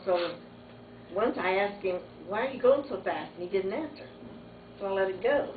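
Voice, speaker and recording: Older woman storytelling, distant muffled recording. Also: medium pitch. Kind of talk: casual family storytelling. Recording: muffled phone-like audio, echoey, distant, noisy room.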